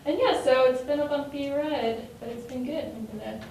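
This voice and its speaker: A woman's voice: sits high, fairly quick, darkish tone, a little hiss or hum. A nervous woman from Mexico introducing herself on a panel. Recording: very echoey hall, far mic.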